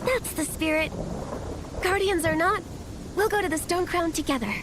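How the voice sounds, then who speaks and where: A woman's voice: extremely high pitch, moderate pace, background noise. A woman acting a determined, upbeat young stylist adventurer in a video game, earnest and confident. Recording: music behind the voice.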